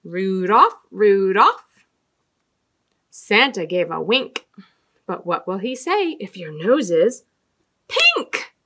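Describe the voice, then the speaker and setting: Animated woman reading slowly to children. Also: medium pitch. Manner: expressive, deliberate sing-song delivery with dramatic emphasis. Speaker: children's library storytime host. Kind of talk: read-aloud storytelling and talk to young children. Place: small indoor room with fabric backdrop.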